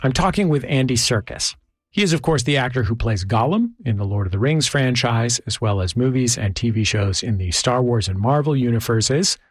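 An American man hosting a radio interview, speaking in a measured, thoughtful way. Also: mid-range voice, animated, husky, no echo, studio quality, soft background music. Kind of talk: conversational arts interview with scripted introduction.

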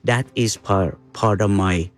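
A man in his sixties from Iran answering in an interview, earnest and deliberate in his phrasing. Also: fairly low pitch. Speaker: interviewee, father.

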